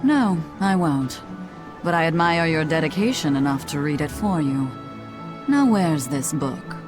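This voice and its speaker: A woman's voice: low-pitched, expressive, measured pace, music in the background. An actress playing a sly, wry, mysterious woman in a video game, with a haughty, teasing drawl. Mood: wry, composed. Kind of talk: English game voice acting.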